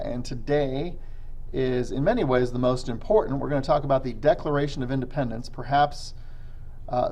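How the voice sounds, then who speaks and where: An American man, a middle school history teacher, explaining deliberately and emphatically in a recorded lesson for students. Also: mid-range voice, a little mellow.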